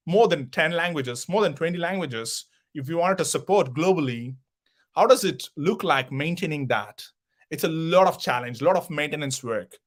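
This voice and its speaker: A man's voice: a little high, a bit bright. A man from South India with an Indian accent, a Kannada speaker, giving an online conference talk and often repeating words as he searches for the phrasing.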